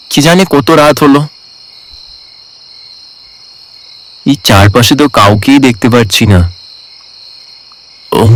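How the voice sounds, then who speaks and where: A man playing an anxious lost traveller who tells his own ghost story in a cartoon, expressive and worried. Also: mid-range voice, dry recording, lightly acted, poor audio. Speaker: narrator and main character. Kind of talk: dramatised horror cartoon storytelling in first person.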